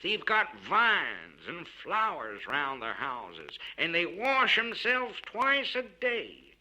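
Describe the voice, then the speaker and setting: A man voicing a rustic frontier expressman who spins tall tales with folksy, drawn-out emphasis and wonder. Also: high-pitched, wildly swooping pitch, pushed and bright, slight background noise, pitched above the performer's own voice, heavily acted, rough recording. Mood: boastful wonder.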